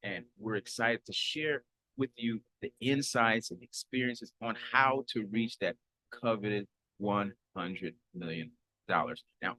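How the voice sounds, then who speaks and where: Low-voiced upbeat podcast host, a man. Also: poor audio. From Haiti, raised in Florida. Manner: upbeat and earnest, with frequent fillers and restarts. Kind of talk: solo podcast introduction.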